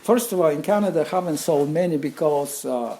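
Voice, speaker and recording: An older man from Bulgaria with a Bulgarian accent, telling stories casually in a halting, rambling but animated way. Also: on the high side. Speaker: interview guest, craftsman and designer. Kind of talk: casual conversational storytelling.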